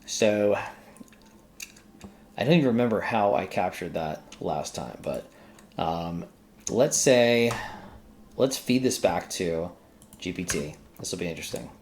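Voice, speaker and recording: A man giving a casual coding walkthrough, thinking aloud in a halting way as he works. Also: low-pitched, animated, a little mellow, a little hiss or hum. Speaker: tutorial host, accountant who codes.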